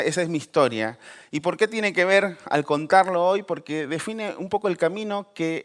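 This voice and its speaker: A man's voice: on the high side, a bit bright, smooth. A man in his forties from Peru, giving a conversational personal testimony full of fillers and restarts. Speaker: speaker.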